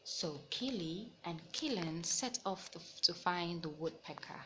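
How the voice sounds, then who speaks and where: A woman's voice: on the low side, expressive, a little slow, pushed and bright, slight background noise, poor audio. A woman hosting a children's read-aloud, narrating a picture book expressively for young listeners. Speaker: storytelling host.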